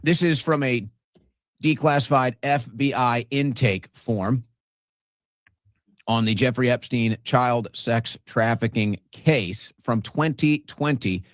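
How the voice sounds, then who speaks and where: A man presenting a show, reading documents aloud in a measured way with brief asides. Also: mid-range voice, no echo. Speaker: show host.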